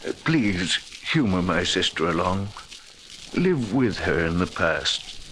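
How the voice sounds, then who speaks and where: An actor playing an anxious, old-fashioned gentleman host, hesitant, formal and apologetic, in 1940s radio style. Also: deep voice, very animated, slow, exaggerated voice. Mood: hesitant, pleading. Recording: faintly noisy old 1940s radio recording.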